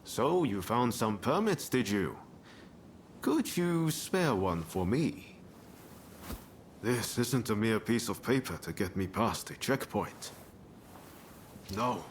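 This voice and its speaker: A man's voice: fairly low pitch, very animated, slow pace, long pauses, a little hiss or hum. An actor playing a jaunty, roguish ronin with big ambitions in a video game cutscene, breezy and playful, drawing out his phrases. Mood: breezy, confident.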